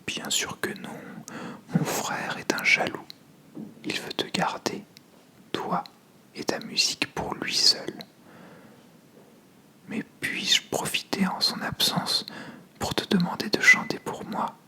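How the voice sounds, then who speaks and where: A storyteller voicing a sly, flattering male serpent god in a whispered fable. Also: takes it slowly, pauses often.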